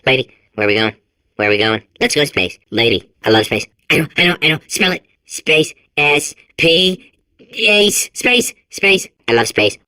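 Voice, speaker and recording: A man's voice: medium pitch, sharp tone, dry recording. A man voicing a manic, childlike robot in a video game, stuttering and compulsively repeating words. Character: manic, childlike male robot sphere obsessed with space. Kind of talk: video game character acting.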